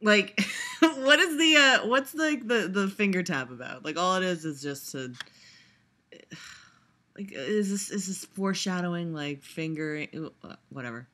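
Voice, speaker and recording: A woman's voice: fairly low pitch, lively intonation, a little slow, bright, forceful tone, a little hiss or hum. Swearing, sarcastic young woman vlogger. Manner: profane, sarcastic, rambling, frequent laughter and fillers. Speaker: vlogger reacting to a trailer. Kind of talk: casual reaction commentary.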